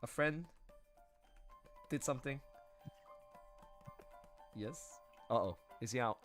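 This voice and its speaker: A man's voice: mid-range voice, slow pace, fairly bright tone. A middle-aged man hosting a casual livestream chat, chatty and frank, often checking in with tag questions. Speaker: livestream host. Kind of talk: casual, candid group chat. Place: live online voice chat stream. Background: music playing behind the voice.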